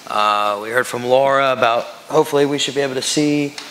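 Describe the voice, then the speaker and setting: A man's voice: mid-range voice, brisk, fairly bright tone. A man speaking as a council member, making informal remarks at a public meeting. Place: large council meeting room. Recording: echoey hall with background noise.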